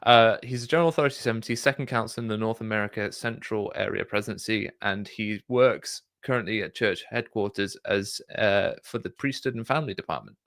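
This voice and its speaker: A man co-hosting a video-call show, talking through church talks in a measured, hesitant, self-deprecating way. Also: on the low side, a bit bright, no echo, studio quality. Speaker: co-host presenting slides. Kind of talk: casual reflective commentary.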